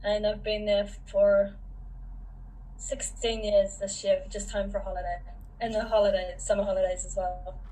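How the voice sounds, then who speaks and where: A woman introduces herself briefly and matter-of-factly. Her pitch stays flat and even throughout. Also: normal speed, a little hiss or hum, rough recording. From New Zealand.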